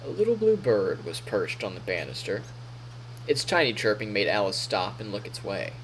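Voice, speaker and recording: A man's voice: lowish voice, big pitch swings, normal speed, a little hiss or hum, rough recording. A man live streaming, reading story narration aloud in a playful, theatrical way with casual asides.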